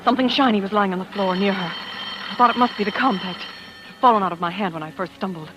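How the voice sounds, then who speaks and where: An actress playing a shaken young wife who narrates a murder discovery in a hushed, dramatic 1940s radio style. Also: female voice, mid-range voice, fast talker, background noise, natural voice. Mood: haunted, tense. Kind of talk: 1940s radio acting, first-person suspense narration. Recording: old radio recording with music underneath.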